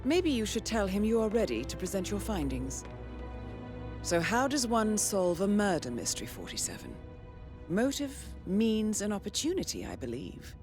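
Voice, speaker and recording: An actress voicing a poised, dry intelligence handler guiding an assassin in a video game, measured and wry. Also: female voice, medium pitch, sing-song, natural voice. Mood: wry, approving. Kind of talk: video game mission commentary. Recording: music under the voice.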